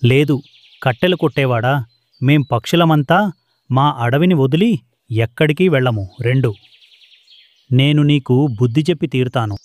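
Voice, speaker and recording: A man's voice: medium pitch, moderate pace, pauses often, a little mellow, dry recording, lightly acted. A man voicing a defiant, threatening male bird in a children's cartoon story.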